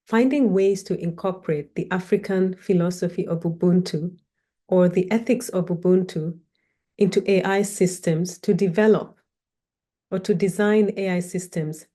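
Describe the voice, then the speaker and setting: Woman, mellow voice. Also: lowish voice. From Kenya. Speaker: academic researcher and guest speaker. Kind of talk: personal introductory talk, storytelling.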